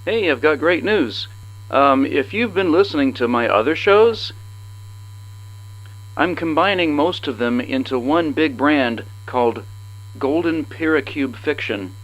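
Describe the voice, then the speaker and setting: A man's voice: on the high side, lively intonation, a little slow, fairly bright tone, lo-fi sound. A man hosting a podcast, casually addressing his listeners with an announcement. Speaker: podcast host.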